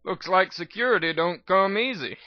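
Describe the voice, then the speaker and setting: A scrawny old unicorn doctor of the wasteland as acted by a male reader in an audio story. He talks in a folksy, grimly amused, world-weary way, pitched higher, on a dry but slightly dull recording. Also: moderate pace, dry recording, natural voice. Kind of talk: dramatic reading of fan fiction.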